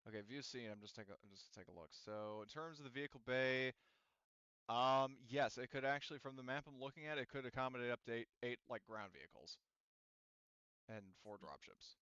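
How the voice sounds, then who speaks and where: A man working as game master, narrating casually and often thinking aloud in a halting way. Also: mid-range voice, fairly bright tone, clean tone, dry recording, muffled recording.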